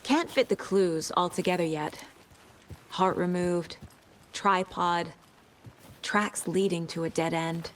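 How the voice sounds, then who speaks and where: A woman's voice: fairly low pitch, slow pace, long pauses. A woman playing a composed, driven FBI agent in a video game, speaking in a focused, analytical, matter-of-fact way. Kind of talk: cinematic video game acting. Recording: clear background ambient noise.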